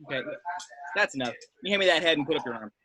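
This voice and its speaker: A man's voice: fairly high pitch, expressive, racing, crowd noise, lightly acted. A man acting a brusque, sardonic, seasoned secret agent in an amateur script read, clipped and authoritative. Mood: urgent, commanding. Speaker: amateur actor at a table read. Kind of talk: animated script read-through.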